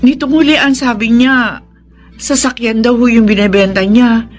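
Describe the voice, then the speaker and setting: A woman's voice: fairly high pitch, steady tone, normal speed, soft background music, lightly acted. A woman playing a troubled, suspicious wife and mother in a radio drama, with a Filipino accent. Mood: anxious.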